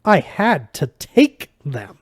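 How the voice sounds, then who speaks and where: A man's voice: medium pitch, lively intonation, dark tone, dry recording, clean studio sound. A man voicing a cruel, dismissive fox god in an audiobook, speaking formally and measuredly with a French accent. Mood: indignant, reasoning. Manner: measured, condescending, formal phrasing.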